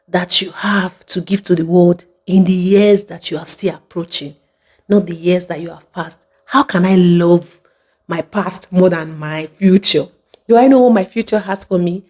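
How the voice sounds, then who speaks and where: Female motivational host with a soft, mellow tone, addressing viewers directly and driving points home with emphatic repetition. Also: medium pitch. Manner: emphatic, repeats phrases for effect. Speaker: video host.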